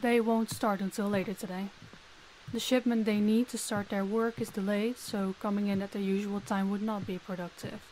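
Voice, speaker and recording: A woman plays a commanding crime boss with a medium-pitched voice. She is coolly businesslike and formal, giving orders with clipped authority in an audio roleplay, over faint background noise. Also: little pitch movement, normal speed, a little mellow, natural voice, poor audio. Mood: cool, polite. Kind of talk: roleplay audio drama acting.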